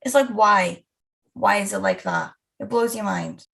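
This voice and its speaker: A woman's voice: medium pitch, a little slow, gravelly, fairly bright tone. A young woman in casual book chat, gushing and peppering her speech with "like". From Wales. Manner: gushing, lots of "like" fillers. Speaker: booktuber co-host.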